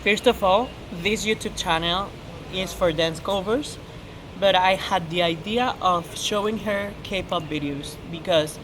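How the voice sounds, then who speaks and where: An eighteen-year-old woman hosting a casual Q&A, chatty and giggly, with loose English grammar. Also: lowish voice. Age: teens. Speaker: channel host. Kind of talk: casual Q&A chat. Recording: noisy background hiss.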